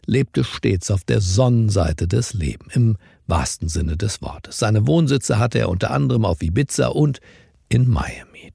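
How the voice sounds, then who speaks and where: Male presenter, gravelly and breathy, scripted and measured with dry wit, close studio sound over light music. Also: on the low side, a little mellow, no room sound. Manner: formal, wry, measured presenter delivery. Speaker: podcast host. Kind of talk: scripted morning briefing narration.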